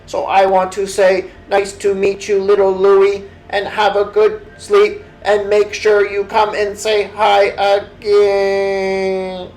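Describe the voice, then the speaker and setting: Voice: mid-range voice, monotone, slow pace, darkish tone, faint music behind, pitched above the performer's own voice, lightly acted, rough recording. A storyteller voicing a polite, friendly little robot in a bedtime story, announcing things in short phrases. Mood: cheerful announcing. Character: polite friendly toy-like robot announcing its own sleep mode.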